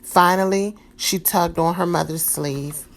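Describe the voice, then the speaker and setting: A woman reading a children's storybook aloud in a calm, expressive way. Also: lowish voice, a little slow. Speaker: storybook reader.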